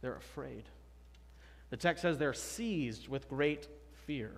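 Pastor in his thirties, casual emphatic preaching, mid-pitched voice, church reverb. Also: takes it slowly, vocal fry. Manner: conversational, emphatic preaching with rhetorical questions. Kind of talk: sermon. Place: church sanctuary, at a pulpit. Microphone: pulpit microphone, far camera.

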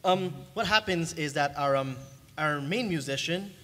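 Higher-voiced man, hesitant, in a reverberant hall. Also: moderate pace, bright, forceful tone. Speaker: panelist. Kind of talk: casual panel talk. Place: large convention hall, panel table. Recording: reverberant hall, faint room noise.

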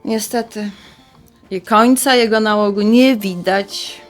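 Middle-aged mom, woman acting, chatty and motherly, natural radio-drama dialogue, mid-pitched voice. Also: slow pace, faint music behind, close to an everyday voice. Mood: resigned. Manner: colloquial, expressive, motherly. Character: chatty, warm-hearted middle-aged mother of grown son.

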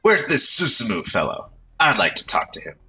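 A man voicing a brisk male reporter who barks warnings and commands. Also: medium pitch, sing-song, pitched above the performer's own voice. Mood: firm. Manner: forceful, shouting warnings.

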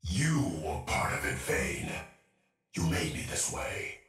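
An actor playing a vengeful, paranoid cyborg assassin in a video game, menacing and unhinged, muttering through robotic processing. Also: male voice, deep voice, big pitch swings, slow pace, a bit bright, full character voice. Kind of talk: video game character voice lines. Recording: roomy, distant, music behind.

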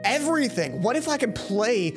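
A young man hosts a let's play with game music underneath. He reads visual novel narration aloud and cuts in with expressive, dramatic reactions of his own. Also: very high-pitched, moderate pace. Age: young adult.

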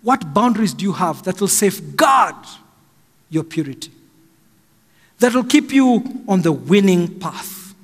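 A middle-aged Kenyan man preaching a slow, emphatic sermon from a pulpit stand mic in a large church hall. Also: sits high, very animated. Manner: slow and emphatic, raising his voice for stress. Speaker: pastor. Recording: long hall reverb, faint music.

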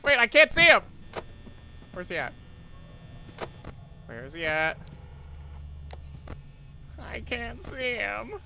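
A man's voice: very high-pitched, very animated, slow, creaky, pauses often, noisy background, exaggerated voice. A man voicing an anxious, overly polite cartoon schoolteacher, nervously exclaiming and pleading as he commentates on a horror game. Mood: panicky. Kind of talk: let's play commentary in character.

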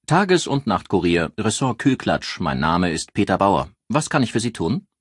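A man's voice: fairly low pitch, very animated, normal speed, slightly rough, no echo, studio quality. A man voicing a polite, formal male newspaper employee answering calls in a crime audiobook. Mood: courteous. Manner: polite, routine phone greeting.

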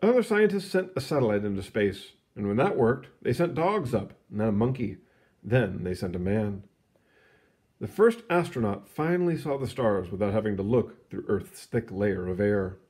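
A man reading a children's science book aloud in an expressive, explanatory storytelling manner. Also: lowish voice, moderate pace, husky. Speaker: read-aloud narrator.

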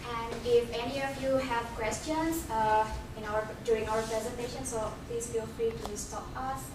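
A woman's voice: on the high side, steady tone, moderate pace. A young woman from Indonesia giving a community presentation, hesitant with many ums and restarts. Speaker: presenter, international fellow. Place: community center meeting room. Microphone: far camera. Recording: echoey room from a far camera, noisy.

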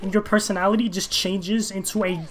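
A man's voice: high-pitched, level tone, fairly quick, a loud crowd. A young man chatting casually over his own gameplay, rambling off topic and joking, swearing freely, talking into a gaming headset in his bedroom. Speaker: gaming streamer.

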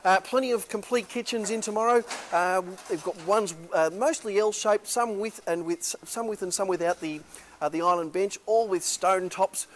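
A man's voice: high-pitched, moderate pace, a bit bright, a little hiss or hum. Chatty auctioneer man. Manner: chatty salesman patter, many fillers. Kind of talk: walkthrough of auction lots. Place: large warehouse indoors.

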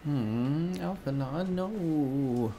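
A man's voice: fairly high pitch, lively intonation, very slow, mellow, slight background noise. A man in his thirties giving casual reaction commentary as a game streamer. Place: indoor room at a streaming desk. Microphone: desktop USB microphone. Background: game music.

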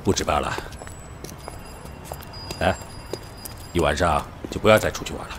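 A man's voice: low-pitched, moderate pace, background noise. A man playing a stern, cautious middle-aged police officer and father, admonishing and emphatic, in an audio drama. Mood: restrained. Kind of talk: Chinese audio drama acting.

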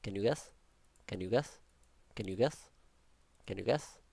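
A young man with an Arabic accent narrates his building session over gameplay in a relaxed, chatty way. He rambles, jokes and mutters to himself, in a lowish voice recorded close on a desktop mic. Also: a little slow, creaky, no echo. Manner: chatty, rambling, jokey asides and self-talk. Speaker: Minecraft building channel host. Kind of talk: casual gameplay commentary.

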